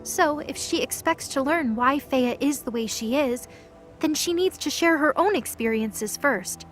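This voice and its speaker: A woman's voice: high voice, animated, unhurried, faint music behind. A woman narrator reading scripted third-person narration for an audio drama.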